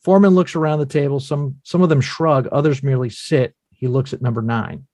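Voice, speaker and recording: A man's voice: mid-range voice, a little fast, mellow, no room sound. Man reading stage directions, dry sound. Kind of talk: scripted narration.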